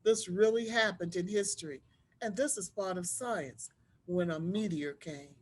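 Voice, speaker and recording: A woman reading a storybook aloud to children, explaining things simply and deliberately like a school librarian. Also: lowish voice. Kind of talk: read-aloud storytelling with teacherly asides.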